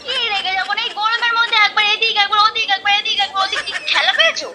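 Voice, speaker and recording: A woman's voice: extremely high pitch, fairly even intonation, racing, over a noisy crowd. A woman on a phone call, irritated and complaining as she is pranked. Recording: phone line with background noise.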